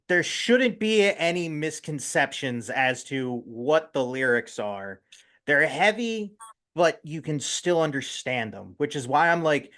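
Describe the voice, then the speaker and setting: A man hosting a casual podcast interview, talking haltingly with many fillers and restarts. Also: medium pitch, fairly bright tone. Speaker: podcast host.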